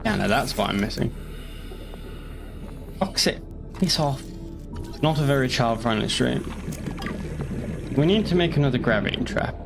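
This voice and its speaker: A man's voice: mid-range voice, animated, normal speed, vocal fry, long pauses, music in the background. A young man chatting casually while streaming a game, rambling and joking with the odd curse. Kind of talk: casual gaming commentary.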